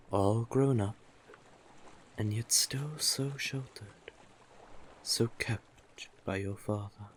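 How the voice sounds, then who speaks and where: A man acting an older male sea witch, very slow delivery, deep voice, doting, coaxing, intimate fantasy audio drama. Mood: tender, coaxing. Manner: soothing, coaxing, doting, deliberate. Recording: clearly noisy, sea-cave ambience effects.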